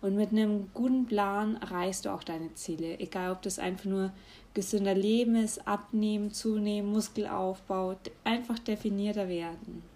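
A young woman, giving casual advice in a chatty way, often laughing and pausing with fillers. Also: mid-range voice, steady tone, mellow. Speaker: vlogger.

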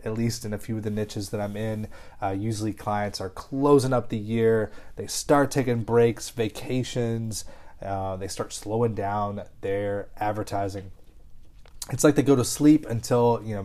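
A man hosting a solo marketing podcast while congested with a head cold, talking in an energetic, casual, pep-talk way. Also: lowish voice. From Kentucky. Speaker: podcast host.

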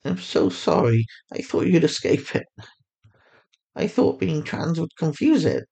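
A man's voice: a little high, very animated, a little slow, gravelly, dark tone, voice pushed up, heavily acted. A man voicing a loving, sorrowful mother in a story, speaking in a tender, soothing way. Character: gentle, loving, sorrowful mother of a teenage girl.

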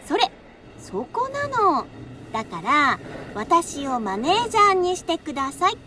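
An actress playing an eager high school girl who coaxes and insists, in an audio drama. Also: sing-song, measured pace, a little hiss or hum, exaggerated voice. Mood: pleading. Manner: bright insistence, coaxing. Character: eager, persuasive high school girl, self-appointed manager.

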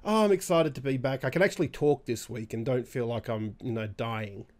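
A man co-hosting a weekly gaming show, a little raspy after being sick, chatting casually with lots of restarts. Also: mid-range voice. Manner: halting, many restarts and fillers. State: slightly raspy from recent illness. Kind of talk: casual chat.

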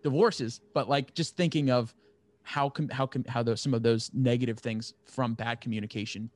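A man's voice: medium pitch, fairly even intonation, normal speed, darkish tone, dry recording. A man interviewing in a casual, rambling way, thinking aloud as he forms his questions. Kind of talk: casual, loosely brainstormed interview chat.

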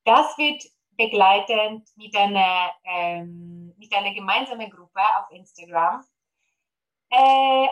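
A Polish-accented woman comes across bright and forceful, her voice sitting a bit high. She talks eagerly as a podcast guest and keeps filling pauses with hesitations. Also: rough recording. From Poland. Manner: eager, many fillers, promotional. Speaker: guest, gut health coach. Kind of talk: podcast interview.

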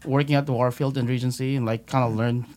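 A man's voice: mid-range voice, fairly even intonation, fast, darkish tone. A man from the Philippines, a band member, chatting casually in a podcast interview, rambling with many fillers and frequent swearing.

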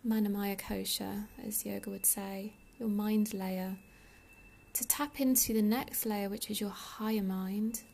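Soft woman guiding meditation, slightly gravelly. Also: mid-range voice, fairly even intonation. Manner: soothing, unhurried, breathy soft delivery with pauses. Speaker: meditation guide, yoga teacher. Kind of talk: guided meditation.

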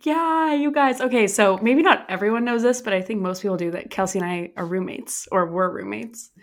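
Woman podcast cohost, voice a bit raspy still, chatty, laughs a lot, says like a ton. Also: medium pitch, brisk. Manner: chatty, laughing, uses lots of "like". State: slightly raspy, voice recovering. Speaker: podcast co-host. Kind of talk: casual chat.

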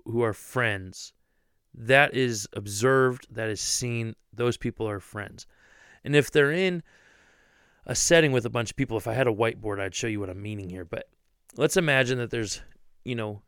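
A man's voice: mid-range voice, a bit bright, smooth, no room sound, clean studio sound. A man from Ohio co-hosting a ministry podcast in casual chat, talkative, often repeating words as he starts a thought. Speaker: podcast co-host, youth pastor.

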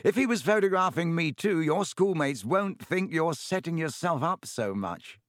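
A man voicing a vain, jovial teacher who booms with pompous, paternal self-satisfaction. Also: expressive, moderate pace, a bit bright, dry recording, studio quality. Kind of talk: audiobook character voice.

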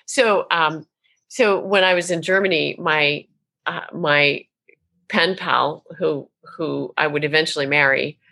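Animated woman storyteller with a forceful voice. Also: deep voice, unhurried, creaky. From Tennessee, US. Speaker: guest, author and minister. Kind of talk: casual interview talk.

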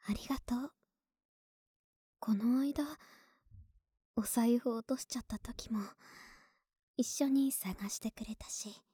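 A woman playing an affectionate, slightly clumsy young neighbor with a big-sister air in an ASMR voice drama, speaking softly and intimately, often in a whisper. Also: fairly high pitch, slow pace, long pauses, dry recording, studio quality, full character voice. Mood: tender, confiding. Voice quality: breathy. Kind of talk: Japanese ASMR situation voice drama.

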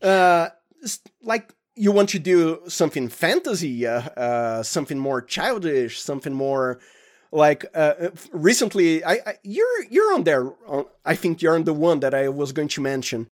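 A man's voice: a little high, very animated, normal speed. A man with a Brazilian accent, speaking casually as an interview guest in a hesitant, stop-start, giggly way. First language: Portuguese. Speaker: podcast guest, sound designer.

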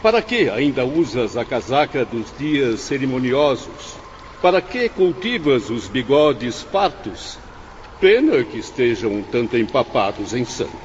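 An actor playing an arrogant, irritable dandy among the damned in a theatrical radio drama, with haughty delivery. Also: male voice, medium pitch, sing-song. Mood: sneering. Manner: pompous, haughty, drawn-out delivery. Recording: muffled, very reverberant, faintly noisy radio drama.

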